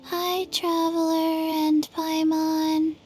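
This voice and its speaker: A woman voicing a small undead girl in a video game, deadpan and halting, with a soft childlike voice. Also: extremely high pitch, faint music behind, full character voice. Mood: blank. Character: small undead zombie girl, flat and slow.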